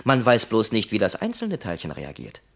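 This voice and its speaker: An actor playing a detached, lecturing factory psychologist in a radio play, analytical, pedantic and wryly sceptical. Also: male voice, lowish voice, huge pitch swings, normal speed, gravelly, full character voice. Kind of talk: German radio play acting.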